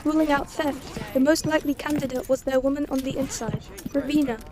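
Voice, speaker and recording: A woman's voice: high-pitched, steady tone, brisk, lightly acted. A woman playing a coolly probing, formal officer in an audio drama, with precise phrasing. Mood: coolly suspicious.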